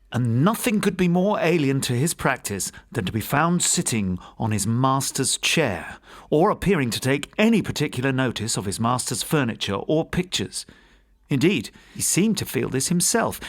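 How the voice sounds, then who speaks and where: A man narrating a gothic ghost story for an audiobook in a measured, formal, dramatic storytelling manner. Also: mid-range voice.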